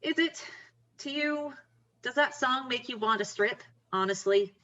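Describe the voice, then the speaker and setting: A woman's voice: a little high, unhurried, bright, forceful tone, highs cut off. A woman playing a blunt, confident, wry young friend in a screenplay table read, speaking frankly and coaxingly. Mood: blunt, challenging. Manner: frank, coaxing, plain-spoken.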